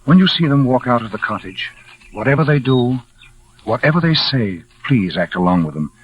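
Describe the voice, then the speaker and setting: Grave, measured gentleman, vintage radio. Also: medium pitch, expressive, breathy edge. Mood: grave urging. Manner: measured, solemn. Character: calm, earnest gentleman friend, protective and grave. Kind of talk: 1940s radio drama acting. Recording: dull vintage radio broadcast.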